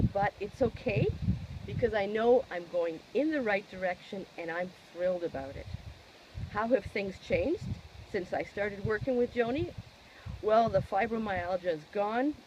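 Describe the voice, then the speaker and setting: A woman giving a personal testimonial in an expressive, emphatic way, posing questions and answering them herself. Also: mid-range voice, muffled recording, a little hiss or hum.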